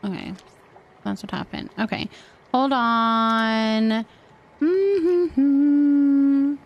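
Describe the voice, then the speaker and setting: A woman chatting casually while streaming a game, thinking out loud as she talks to her viewers. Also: sits high, steady tone, very slow, lo-fi sound. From California, United States. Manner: chatty, thinking aloud to herself. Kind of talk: casual gameplay stream chat.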